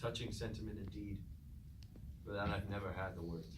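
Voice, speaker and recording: A man's voice: fairly low pitch, steady tone, a little fast, natural voice. An actor playing a grave, humbled, philosophical fallen robot king in stately stage-tragedy declamation. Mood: grave, reflective. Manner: stately, declamatory, archaic phrasing. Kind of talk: theatrical Greek-tragedy stage acting. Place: indoor room, staged reading at a university. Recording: distant, echoey recording, faint noise.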